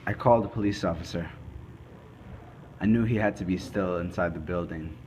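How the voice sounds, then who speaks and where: A man playing a grave, methodical forensic investigator, delivering a scripted dramatic monologue in halting, deliberate phrases. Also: low-pitched, a little mellow, a little hiss or hum, lightly acted. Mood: grave, suspenseful. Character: male forensic investigator recounting a murder case, grave and methodical. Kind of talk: scripted dramatic monologue, amateur student production.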